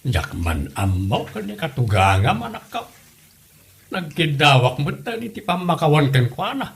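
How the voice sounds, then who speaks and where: A man's voice: a little high, big pitch swings, normal speed, gravelly. A man playing an aggrieved, plaintive elderly father with an Ilocano Filipino accent in a comedy audio drama. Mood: defensive, plaintive. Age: older. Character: aggrieved elderly father, fond of liquor, pleading innocence. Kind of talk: comedy radio drama acting.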